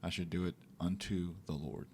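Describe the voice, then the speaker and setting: A man co-hosting a podcast, talking in a relaxed, reflective way about faith and daily life, sometimes acting out little quotes. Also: deep voice, moderate pace, slight background noise. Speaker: podcast co-host. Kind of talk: casual faith talk.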